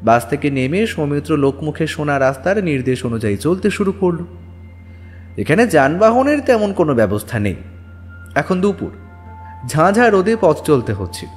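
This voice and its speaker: A man's voice: on the high side, sing-song, normal speed. A man narrating a scripted audio story in a dramatic storytelling style. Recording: long reverberant tail on voice. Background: music.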